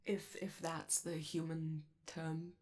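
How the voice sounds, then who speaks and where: A woman's voice: low voice, little pitch movement, takes it slowly, a little mellow. A woman playing a friendly, nervous robot alien crewmate in a comforting ASMR roleplay, rambling and hesitant as they reassure the listener. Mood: shy, rambling.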